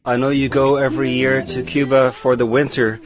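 A man's voice: medium pitch, flat delivery, normal speed. A man with a mid-range voice putting short, direct questions to his guest. Faint music sits behind him. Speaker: interviewer. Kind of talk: interview questions.

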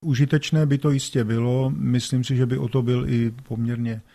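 A man from the Czech Republic, a museum expert, answering interview questions hesitantly with pauses and fillers. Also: medium pitch, fairly even intonation, gravelly, mellow.

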